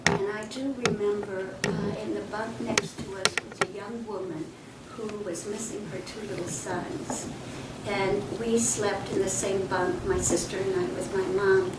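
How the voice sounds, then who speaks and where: Older polish-born woman telling her story, lots of pauses, noisy background hiss. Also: mid-range voice, fairly even intonation. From Poland. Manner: halting, reflective storytelling with frequent pauses. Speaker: interviewee. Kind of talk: oral history recollection. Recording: echoey room, distant mic, background noise.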